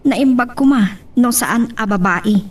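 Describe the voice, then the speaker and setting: An actress playing a worried older relative in a radio soap, fretful and musing, with a husky voice. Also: female voice, on the high side, a little slow, lightly acted. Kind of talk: radio soap drama acting.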